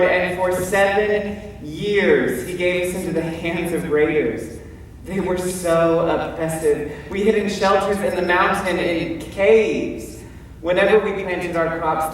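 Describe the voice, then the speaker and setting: A man's voice: high voice, a bit bright. A man playing Gideon, a fearful biblical warrior, in an emphatic, hesitant stage monologue. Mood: anguished, solemn. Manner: theatrical, emphatic, with deliberate pauses and hesitant stammers. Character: Gideon, fearful, self-doubting biblical warrior telling his story. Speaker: stage actor. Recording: distant mic in echoey hall, faint noise.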